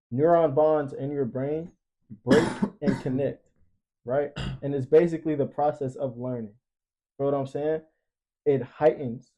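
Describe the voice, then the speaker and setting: A man's voice: on the high side, mellow, lo-fi sound. A twenty-year-old man talking casually and emphatically, preaching motivation in profane slang with repeated catchphrases. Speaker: podcast panelist.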